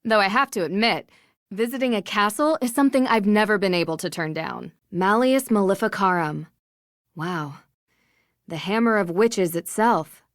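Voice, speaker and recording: A woman's voice: medium pitch, normal speed, no room sound, clean studio sound, close to an everyday voice. An actress playing a curious, polite and plucky young woman detective in an adventure game. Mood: friendly, curious. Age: young adult. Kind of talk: scripted adventure game dialogue.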